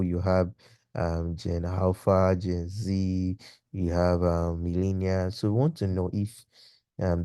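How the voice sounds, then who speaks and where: A man with a low, very dark and relaxed voice delivers an informal tutorial. He explains in a didactic way, working through example questions like an instructor. The sound is dry, with hardly any room around it. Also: dry recording. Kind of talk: informal video lecture, explanatory.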